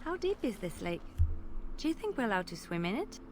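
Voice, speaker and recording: A woman's voice: medium pitch, very animated, a little fast, background noise, close to an everyday voice. A woman playing a curious young companion.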